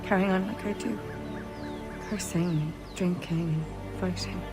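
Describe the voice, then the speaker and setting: A woman's voice: fairly low pitch, fairly even intonation, measured pace, close to an everyday voice. An actress playing a hard-living pirate woman in a video game, speaking plainly with rueful, wistful grief. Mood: bitter, rueful. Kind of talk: video game cutscene acting. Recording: music behind the voice.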